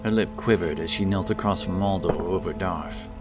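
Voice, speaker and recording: A man's voice: sits low, normal speed, loud music behind the voice. A man narrating a fantasy audiobook as a scripted dramatic story, with intense, theatrical delivery.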